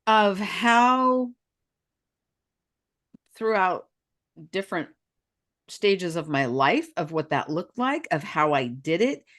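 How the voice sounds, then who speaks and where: A woman in her sixties co-hosting a podcast, speaking slowly and thoughtfully, often chiming in with short agreement. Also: lowish voice, very animated, a bit bright. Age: 60s. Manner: slow and reflective, lots of agreeing interjections. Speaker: podcast co-host, spiritual healer. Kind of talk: casual chat.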